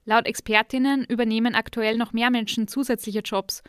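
A woman narrating a podcast reportage in a calm, even reading voice. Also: a little high, normal speed, clear, resonant tone, dry recording, studio quality. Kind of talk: scripted narration.

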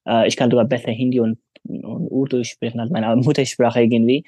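Man in his twenties, mellow husky voice, dry close sound, hesitant speech with many fillers. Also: mid-range voice, little pitch movement, a little fast, no room sound. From Afghanistan. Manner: hesitant, many fillers, non-native grammar. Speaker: interview guest. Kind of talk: casual interview answers.

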